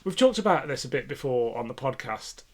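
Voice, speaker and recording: A man's voice: medium pitch, very animated, brisk, darkish tone. A man co-hosting a podcast, chatting casually and informally with opinions to share. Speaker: podcast co-host. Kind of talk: casual chat reviewing a radio play.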